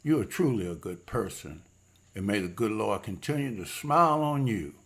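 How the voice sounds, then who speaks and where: A man's voice: on the low side, lively intonation, normal speed, vocal fry, a bit bright, full character voice. A man reading the part of William, a hopeful, respectful husband who has just landed a waiter's job, in a table read. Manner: earnest, respectful, says ma'am.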